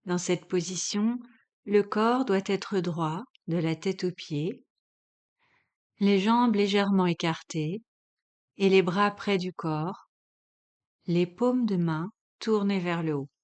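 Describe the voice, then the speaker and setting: Slow-paced woman guiding meditation. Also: mid-range voice. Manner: calm, measured, instructional delivery with long pauses. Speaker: yoga nidra instructor. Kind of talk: guided relaxation meditation.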